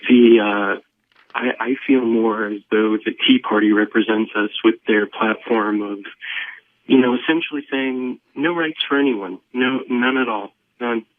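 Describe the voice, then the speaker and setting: Hesitant man on an echoey phone line. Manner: hesitant, halting, many pauses. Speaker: caller to a phone-in show. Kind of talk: prank call posing as political caller. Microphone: telephone. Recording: muffled phone line, boomy echo.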